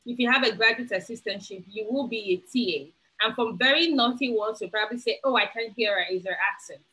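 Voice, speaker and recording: Bright-voiced Nigerian woman giving advice. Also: on the high side, brisk, low-quality recording. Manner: earnest, encouraging, emphatic. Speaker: webinar panelist. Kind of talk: advice on a video call. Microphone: video call.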